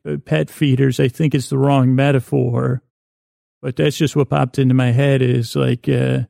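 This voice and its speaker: A man with a creaky voice hosting a sleep podcast, delivering a hesitant, meandering, self-correcting bedtime monologue in a lulling, soothing way. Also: mid-range voice, dark tone, dry recording, clean studio sound.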